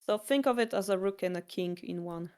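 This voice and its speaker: A woman's voice: medium pitch, brisk, darkish tone, clean tone. Polish-accented woman teaching patiently. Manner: patient, explanatory, slightly non-native phrasing. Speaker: shogi teacher.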